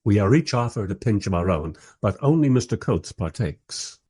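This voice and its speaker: An actor playing a bemused, wry filmmaker who narrates and interviews in a scripted radio drama. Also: male voice, on the low side, lively intonation, moderate pace, a little mellow, husky. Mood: wry, narrating.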